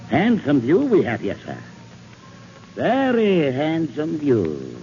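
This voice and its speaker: An actor playing a pompous, genteel, gouty older villain with florid theatrical phrasing in a 1940s radio drama. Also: male voice, fairly high pitch, huge pitch swings, slow, mellow, faint music behind, heavily acted. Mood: imperious, smug. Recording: muffled, hissy 1940s radio recording.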